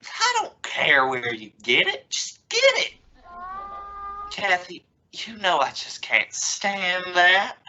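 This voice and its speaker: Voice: medium pitch, huge pitch swings, slow, pushed and bright, heavily acted. A woman playing a flustered, impatient middle-aged father in an old-time radio family comedy, stammering in exasperation. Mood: exasperated. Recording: noisy recording with clicks.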